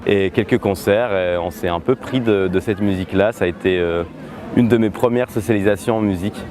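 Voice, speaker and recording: A young man, answering interview questions about his music in a relaxed, hesitant way with many filler pauses. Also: fairly low pitch, a little hiss or hum. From Switzerland. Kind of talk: casual interview talk.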